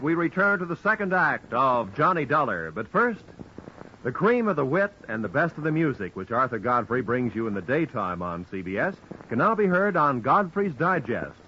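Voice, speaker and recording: A man's voice: mid-range voice, bright, forceful tone. A man announcing in polished, emphatic 1940s-50s radio style, reading a formal scripted promo. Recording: muffled, noisy old radio broadcast recording.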